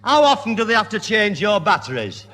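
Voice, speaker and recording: An actor playing a sardonic, teasing family friend in a 1970s radio comedy. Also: male voice, sits high, sing-song, brisk, heavy background noise. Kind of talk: 1970s radio sitcom acting. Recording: reverberant old radio recording.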